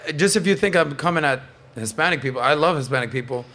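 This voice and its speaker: A man's voice: a little high, expressive, fast, a crowd in the background. A man hosting a podcast in casual, opinionated panel chat, bantering and swearing freely. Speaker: podcast host.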